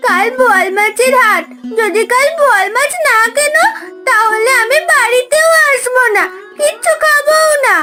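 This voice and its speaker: A stubborn little village girl from a cartoon. The voice is extremely high and piercingly bright, pouting and whiny. Soft music plays behind it. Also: moderate pace. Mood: defiant, sulky. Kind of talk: Bengali cartoon dialogue.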